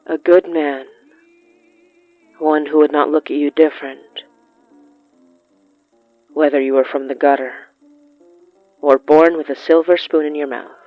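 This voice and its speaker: A narrator telling a scripted literary horror story in a measured way, with dramatic pauses between short phrases. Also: mid-range voice, fairly even intonation, dry recording, lo-fi sound, soft background music. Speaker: narrator of a horror fiction podcast.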